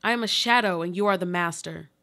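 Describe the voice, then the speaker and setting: A woman playing a desperate, pleading earthquake victim buried alive, in a deliberate, literary radio play monologue. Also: on the low side, sharp tone. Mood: anguished pleading. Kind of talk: live radio play monologue, read from a script.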